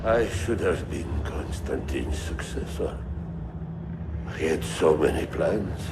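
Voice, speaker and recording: A grandiose Byzantine Templar lord in a game cutscene. He declaims pompously, drawing his words out slowly and swinging widely in pitch, over clear noise. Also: expressive, full character voice. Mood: weak, dying. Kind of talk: dramatic video game cutscene acting.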